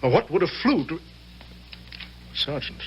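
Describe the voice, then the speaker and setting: An actor playing a grave, authoritative army general in a radio drama, with a husky, measured, commanding voice. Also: male voice, on the high side, big pitch swings, dull audio, slight background noise. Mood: curious, brisk. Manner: measured, commanding, questioning sternly. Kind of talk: old-time radio drama acting.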